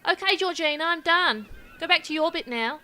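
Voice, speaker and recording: A woman's voice: very high voice, expressive, brisk, sharp tone, full character voice. A British woman playing a sarcastic, impatient kitchen sidekick in an audio comedy, with snappy delivery. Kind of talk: audio comedy sketch acting.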